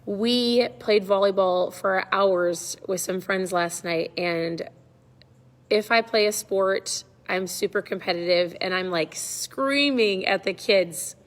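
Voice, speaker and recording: A middle-aged woman with a slightly hoarse voice, chatting casually and encouragingly to viewers as the host of a livestream. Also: mid-range voice, takes it slowly, long reverb tail. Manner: upbeat, encouraging, conversational. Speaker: online coach hosting a live check-in.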